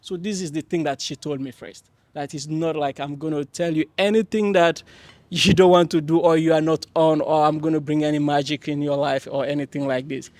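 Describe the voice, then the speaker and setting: A young man from Mali on a panel, telling his story with many pauses and restarts. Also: a little high, fairly even intonation, no echo. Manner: hesitant, many fillers and restarts, warm laughs. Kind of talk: panel discussion, personal account.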